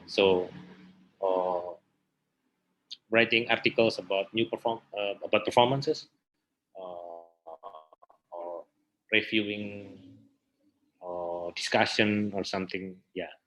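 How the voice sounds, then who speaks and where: A man with a fairly low voice and an Indonesian accent speaks at a very slow pace. A native Indonesian speaker, he answers casually as an interview guest, pausing often to find his words. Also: fairly bright tone, highs cut off. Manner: hesitant, searching for words in non-native English. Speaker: theater practitioner interview guest.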